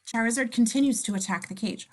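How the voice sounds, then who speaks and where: A woman's voice: a little high, fast. A woman narrating scene descriptions in an amateur script reading, sometimes stumbling and correcting herself. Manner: stumbles over words and self-corrects.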